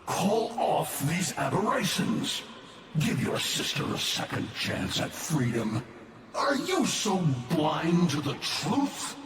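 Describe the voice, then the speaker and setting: An actor playing a defiant, weary father in a game drama, with a grave, commanding, halting delivery. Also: sits high, big pitch swings, exaggerated voice. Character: defiant, weary father confronting his son. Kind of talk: cinematic game drama acting. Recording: distant, very echoey, noisy.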